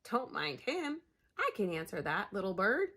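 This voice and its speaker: A woman reading aloud, voicing a friendly mother sled dog who barks and speaks in slow, kindly rhyme. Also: medium pitch, sing-song, sharp tone, heavily acted.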